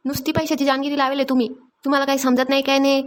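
Woman as cartoon village mom, high, extremely quick, nagging. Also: little pitch movement, close to an everyday voice. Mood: angry, scolding. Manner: nagging, rapid repeated orders. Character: scolding, busy village mother. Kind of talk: Marathi comedy cartoon dialogue.

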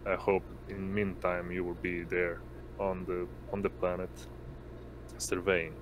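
A man running an online tabletop game as game master, speaking haltingly and hesitantly as he improvises. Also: deep voice, monotone. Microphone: online voice call. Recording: noisy line with constant hum.

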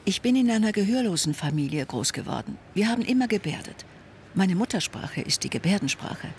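A man reading a calm, measured first-person voice-over that translates signed speech in an interview. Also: sits high, a little hiss or hum.

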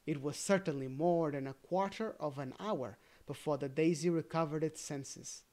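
A storybook reader telling a fairy tale in a careful, gently expressive way, with casual asides. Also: mid-range voice, moderate pace.

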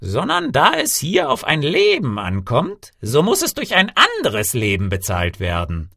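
A man's voice: on the high side, huge pitch swings, measured pace, creaky, pushed and bright, no echo, clean studio sound, pitched above the performer's own voice, heavily acted. A man voicing a solemn, stately old man in a storybook tale, speaking in a declamatory way. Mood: solemn bargaining. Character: stately long-bearded old man, solemn, demanding a grim price. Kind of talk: storybook character voice.

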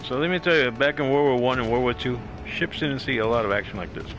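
A man's voice: mid-range voice, fast talker, a bit bright, soft background music, low-quality recording. A man doing casual live commentary as a gaming streamer, rambling and repeating his phrases.

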